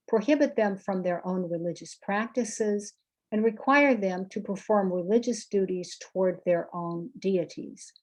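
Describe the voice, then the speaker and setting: A woman delivers a scholarly lecture paper, reading her prepared text steadily. Her voice sits at an ordinary middle pitch. Her pacing is careful and deliberate, as befits an academic talk. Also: a little mellow, poor audio. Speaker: scholar giving a conference paper.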